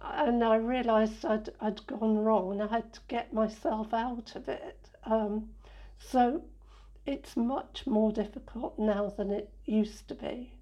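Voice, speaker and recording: Slow, mellow-voiced English woman. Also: on the high side, fairly even intonation, lo-fi sound. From England. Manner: unhurried, reflective, many fillers and restarts. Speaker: interviewee. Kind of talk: conversational interview answers.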